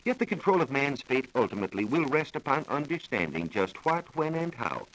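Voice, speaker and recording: An American man giving a scripted science talk on 1940s radio, explaining in a measured, formal manner. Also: mid-range voice, big pitch swings, fairly bright tone, poor audio. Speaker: science reporter explaining research. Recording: old 1940s radio broadcast recording.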